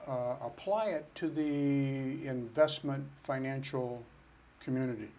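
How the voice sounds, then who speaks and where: A man's voice: medium pitch, unhurried, darkish tone. A man who is a financial planner, answering interview questions in an earnest, step-by-step way. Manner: earnest, explains step by step with restarts.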